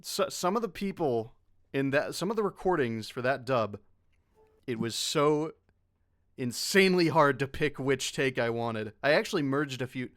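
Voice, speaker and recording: A man's voice: medium pitch, lively intonation, moderate pace, a bit bright. A man talking casually on an online panel as a voice actor who directs dubs, explaining things with joking asides. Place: online panel over voice call.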